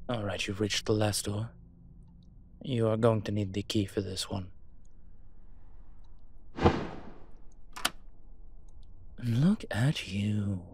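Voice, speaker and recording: A man playing a suave incubus demon professor in a roleplay audio drama, measured, wry and teasing. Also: fairly low pitch, darkish tone, slight background noise.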